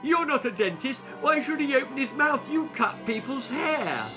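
A man voicing a cheeky, dramatic young schoolgirl with a shrill, exclamatory manner in a children's story. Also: sing-song, moderate pace, sharp tone, faint music behind, heavily acted. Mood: shrieking alarm.

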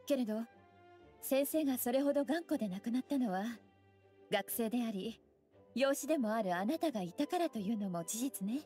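A woman voicing a graceful, teasing young scholar mage in an anime game, with elegant, playful, unhurried phrasing. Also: fairly high pitch, lively intonation, mellow, close to an everyday voice. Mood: gentle, sincere. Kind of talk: Japanese anime game voice acting. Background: music.

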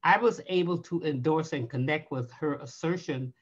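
Older woman, hoarse, pausing thoughtfully. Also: low voice. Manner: unhurried, thoughtful, frequent "uh" and "um" pauses. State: hoarse, losing her voice. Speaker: book reviewer. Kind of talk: library book talk over video call. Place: home room with bookshelves. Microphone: video call webcam. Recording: dull lo-fi video call audio.

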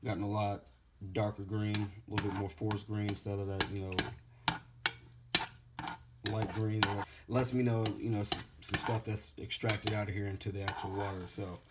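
A man in his forties with a fairly low voice chats loosely as he cooks in his home kitchen, sounding slightly congested. He is recorded on a phone camera, with clear background noise around him. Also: flat delivery, moderate pace. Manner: laid-back, rambling, says "man" and "bad boy" a lot. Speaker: home cook vlogger. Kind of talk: casual how-to vlog.

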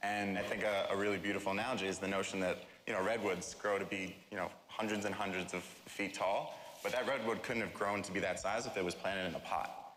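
A man's voice: on the low side, fairly bright tone. A man in his twenties speaking as a panelist on an auditorium stage. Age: 20s. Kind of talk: live panel talk. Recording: echoey hall, faint noise.

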